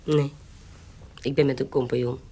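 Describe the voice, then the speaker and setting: A husky, shrewd adventuress. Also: female voice, very deep voice, little pitch movement, moderate pace, mellow. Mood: guarded, cool. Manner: guarded, cool, commanding. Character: shrewd, defiant adventuress, forger and courtesan posing as noble. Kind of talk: audio drama acting in Dutch period piece.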